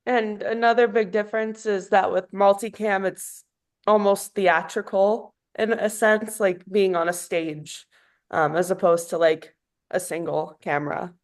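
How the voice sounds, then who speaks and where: A woman takes part in a group discussion, explaining her point. Her pitch is in the middle range and moves very little, giving her a flat, even delivery. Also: measured pace. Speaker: participant answering a question.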